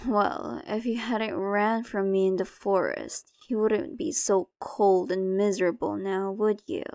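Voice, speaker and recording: Woman acting a slow, seductive, domineering vampire mistress. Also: mid-range voice, steady tone, a little mellow, no echo, clean studio sound, lightly acted. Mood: coaxing, condescending. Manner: condescending, coaxing, theatrical. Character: dominant, possessive ancient female vampire, seductive and menacing. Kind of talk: ASMR roleplay, intimate slow monologue.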